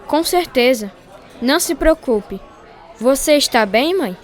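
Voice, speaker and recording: A woman's voice: sits high, expressive, unhurried, a faint crowd. A woman playing an earnest boy, a dutiful son, in a radio drama. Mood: reassuring.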